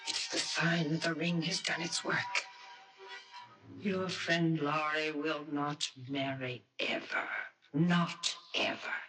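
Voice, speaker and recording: Sinister ageless woman, ominous and unhurried, eerie radio drama over prominent music. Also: low voice, pushed and bright, exaggerated voice. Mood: sinister, calm. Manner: deliberate, ominous. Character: sinister, ancient mother and her dutiful daughter.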